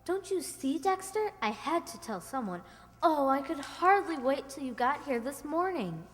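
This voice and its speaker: A woman's voice: high voice, big pitch swings, moderate pace, soft background music. A woman playing an eager, gossipy young kid sister in a radio comedy, telling tales in an earnest, confiding way. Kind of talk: 1940s radio comedy acting. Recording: echoey hall stage recording.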